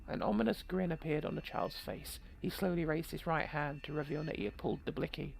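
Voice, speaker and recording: Woman doing a game playthrough, lowish really fried voice, jokey sweary asides while reading. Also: brisk, vocal fry, slight background noise, rough recording. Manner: irreverent, joking, sweary. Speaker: video game streamer reading aloud. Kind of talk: game playthrough, narration mixed with casual commentary.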